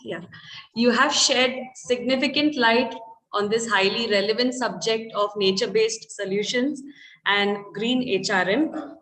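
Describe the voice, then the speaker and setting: A woman moderator speaking formally and courteously, her voice a touch high. She sounds like she is in an echoey room. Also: a little slow, a bit bright. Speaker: conference moderator. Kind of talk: formal vote of thanks.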